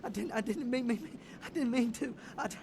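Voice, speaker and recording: A man voicing a meek, frightened young male vampire in a video game, stuttering tearfully and pleading in halting apologies. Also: very high voice, background noise. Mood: panicked. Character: terrified, meek, stammering young male vampire begging for mercy.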